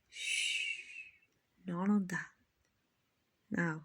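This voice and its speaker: A woman voicing a kindly, genteel female vampire in a soft, soothing, half-whispered ASMR roleplay, with a breathy, husky voice. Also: on the low side, exaggerated voice. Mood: calm, regretful. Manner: slow, soothing, genteel, endearing. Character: gentle, kindly female vampire, soothing rich slave-buyer.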